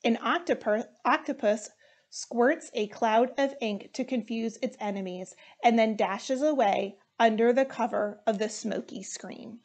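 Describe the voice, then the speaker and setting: Female storybook reader, thirties, higher-pitched, very measured pace, expressive delivery. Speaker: firefighter reading storybooks aloud. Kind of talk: children's storytime read-aloud.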